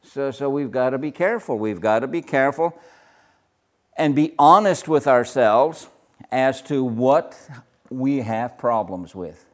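An older man with a naturally loud voice, leading a Bible study group discussion in a casual, talkative way. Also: mid-range voice, a little slow. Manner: loud, gets louder when excited.

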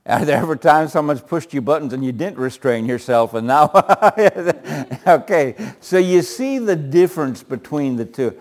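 A man with a loud voice leading a group Bible study, often chuckling and putting questions to the group. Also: on the high side, measured pace, few pauses.

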